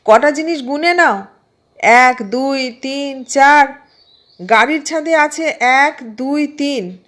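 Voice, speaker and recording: A woman's voice: high-pitched, slow pace, fairly bright tone, pitched above the performer's own voice. A woman voicing flustered, bossy bus passengers in an audio story, calling out urgently.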